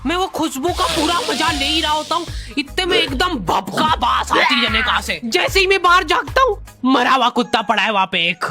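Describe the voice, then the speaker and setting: A man narrating a comic first-person cartoon story in an exaggerated way, his voice sounding artificially pitched up. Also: expressive, moderate pace, few pauses, sharp tone. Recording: faint background music.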